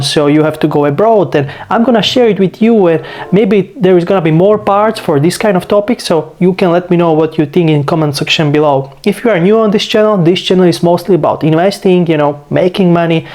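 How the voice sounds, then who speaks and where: A man's voice: on the high side, a little mellow. A man in his twenties from Slovakia, speaking English with a Slovak accent, a little under the weather, rambling casually as a channel host and filling his talk with "you know" and "like.". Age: 20s. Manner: loose and rambling, leaning on fillers like "you know" and "like". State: a bit sick. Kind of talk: casual vlog monologue.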